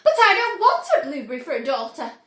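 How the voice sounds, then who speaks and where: A woman's voice: huge pitch swings, fast talker, fairly bright tone, exaggerated voice. A woman voicing a frantic, shrieking middle-aged mother in a storybook reading. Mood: panicked shrieking. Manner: shrill, screaming. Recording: roomy echo.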